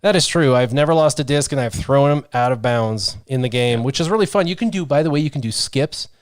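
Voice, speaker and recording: American male host, medium pitch, joking and animated, casual chat, dry sound. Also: brisk, no room sound. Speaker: show host.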